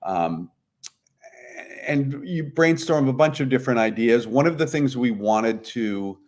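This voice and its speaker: A man's voice: medium pitch, expressive, measured pace, darkish tone. A man speaking as an interview guest, giving conversational, explanatory answers. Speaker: guest, puzzlemaker.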